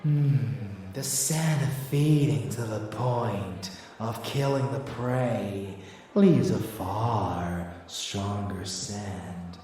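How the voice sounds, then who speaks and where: A man playing a rebellious, possessive and seductive vampire lover in an ASMR audio roleplay, teasing and bloodthirsty in a drawn-out, intimate delivery. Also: lowish voice, mellow. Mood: savoring, menacing. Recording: echoey, distant, faint background noise.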